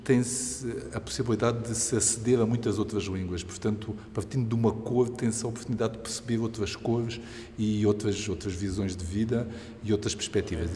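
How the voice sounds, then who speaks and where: A man reflects aloud in an interview. His voice is fairly low and very soft-edged, with a long reverb around it. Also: fairly even intonation, brisk, a little hiss or hum. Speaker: language education specialist. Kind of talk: reflective spoken answer.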